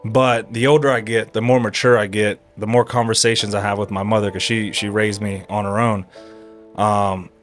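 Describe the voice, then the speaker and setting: A young man from Erie, Pennsylvania, chatting casually as a podcast guest, animated and full of dude and bro. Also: on the low side, moderate pace, faint music behind. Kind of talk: casual chat.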